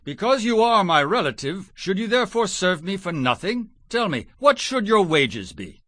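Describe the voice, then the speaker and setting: An actor playing a shrewd older male patriarch in a dramatized scripture reading, speaking in measured declarations. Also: high voice, big pitch swings, a bit bright, no echo, heavily acted. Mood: persuasive.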